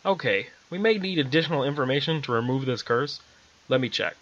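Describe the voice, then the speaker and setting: Talking raven assistant, dry, formal and businesslike, bright voice acted by a man, storybook. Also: medium pitch, animated, fairly quick, dull audio, slight background noise, lightly acted.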